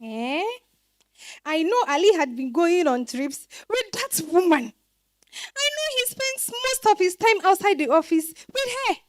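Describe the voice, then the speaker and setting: A woman's voice: extremely high pitch, very animated, unhurried, dry recording, studio quality, full character voice. A woman with a Ghanaian accent playing a bitter, wronged wife lamenting in exclamations in a dramatized stage reading. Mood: aggrieved, despairing. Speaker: stage reader playing a character.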